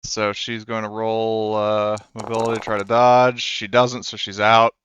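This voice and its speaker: A man running a tabletop game as game master, talking haltingly with many fillers. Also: lowish voice, little pitch movement, a bit bright, clear, resonant tone, no echo, highs cut off.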